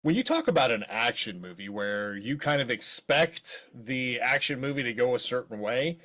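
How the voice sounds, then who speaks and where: A man's voice: mid-range voice, expressive, normal speed, a bit bright. Middle-aged guy from maryland rambling on a podcast, jokey, keeps going off on tangents. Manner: rambling, jokey, trails off into asides. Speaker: podcast co-host. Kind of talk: casual chat.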